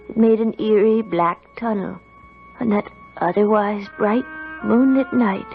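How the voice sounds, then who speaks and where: An actress playing a woman in her nineties, narrating her memories in a measured, brooding way in a radio drama. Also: mid-range voice, vocal fry. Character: woman in her nineties recalling a haunting youth. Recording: dull lo-fi radio broadcast.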